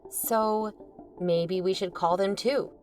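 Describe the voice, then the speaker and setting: An actress playing a cautious, level-headed podcast investigator in an audio drama. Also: female voice, mid-range voice, steady tone, takes it slowly, a little mellow, soft background music, natural voice.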